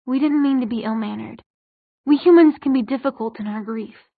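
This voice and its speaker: A woman's voice: a little high, creaky, no echo, phone-quality sound. A woman voicing an earnest, determined young mortal woman in an audiobook, with an urgent manner. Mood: low, sorrowful. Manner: quick, urgent, pleading.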